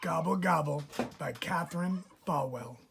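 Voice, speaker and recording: A woman with a fairly low voice reads a children's rhyming storybook very slowly. Her phrasing is measured and expressive. There is clear background noise with turkeys gobbling behind her. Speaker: picture book reader. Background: turkeys gobbling and clicks.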